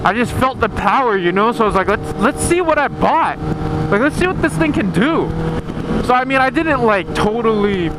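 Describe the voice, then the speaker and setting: A young man telling a story in a casual, rambling, slangy vlog style. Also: high voice. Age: young adult. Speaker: motorcycle vlogger. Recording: loud engine and vehicle noise.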